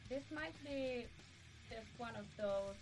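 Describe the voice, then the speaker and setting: A woman's voice: on the high side, slow, music in the background. A woman, a native Finnish speaker, co-hosting a casual podcast and hesitantly searching for words. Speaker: podcast co-host. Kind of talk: casual chat.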